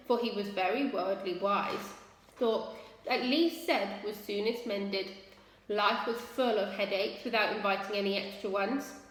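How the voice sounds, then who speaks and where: Woman, children's storyteller, expressive reading, high voice, long reverb. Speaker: school staff storyteller. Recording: very echoey room with long reverb.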